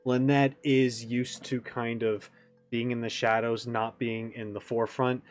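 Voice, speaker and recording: A man giving a casual, animated reaction commentary, thinking aloud as he analyzes like a game fan. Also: medium pitch, unhurried.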